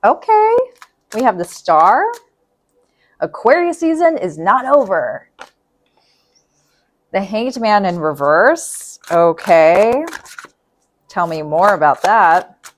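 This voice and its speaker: A woman reading tarot in a casual, chatty way, thinking aloud and repeating herself, her voice going hoarse. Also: medium pitch, sing-song, slow, pauses often, slight background noise. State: losing her voice. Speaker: tarot reader.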